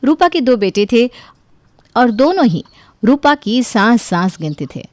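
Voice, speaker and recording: A woman's voice: a little high, sing-song, unhurried, darkish tone, dry recording. Female story narrator, dry close sound. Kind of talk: scripted storytelling narration for animated family drama.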